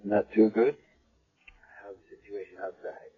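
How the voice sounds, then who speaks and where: Deep, husky, world-weary male doctor. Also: steady tone, measured pace. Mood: weary, dry. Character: world-weary, gruff but kindly male senior doctor. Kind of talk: 1970s radio drama acting. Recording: dull lo-fi old radio broadcast.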